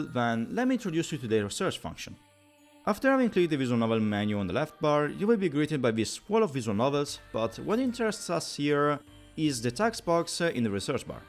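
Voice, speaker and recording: A man hosting a tutorial, narrating with playful, mock-formal phrasing. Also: mid-range voice. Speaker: video host.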